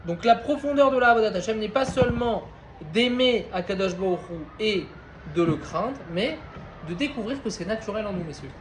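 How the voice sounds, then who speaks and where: A man with a notably high voice leads an informal Torah class, speaking conversationally and turning to his listeners with frequent questions. The recording has a roomy echo from the study hall and a faint bed of ambient noise behind him. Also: animated, fairly quick. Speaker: Torah teacher.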